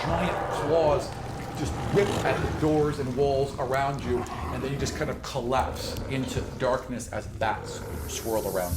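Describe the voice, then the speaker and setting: A man running a tabletop game as game master, describing scenes dramatically and voicing sound effects himself. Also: mid-range voice. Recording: roomy, with clear background noise.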